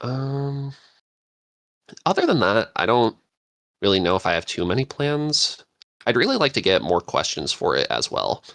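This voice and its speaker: A man's voice: on the low side, big pitch swings, moderate pace, creaky, pauses often, a bit bright, no echo. A man hosting a podcast in casual, unscripted chat, rambling along with enthusiasm. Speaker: podcast host and interviewer.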